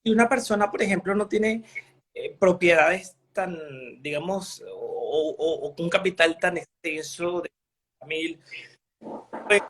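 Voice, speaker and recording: A journalist from Venezuela asking an expert long, winding questions with many hesitations. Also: male voice, high-pitched, a bit bright, slightly rough. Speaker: journalist interviewer. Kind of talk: interview questions.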